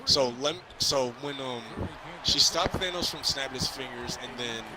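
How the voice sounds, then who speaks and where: A young man chatting casually while gaming, telling things in a rambling, halting way. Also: medium pitch, low-quality recording. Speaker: gamer reviewing a film with a friend. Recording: crowd and ball bounces from game. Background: basketball video game crowd noise.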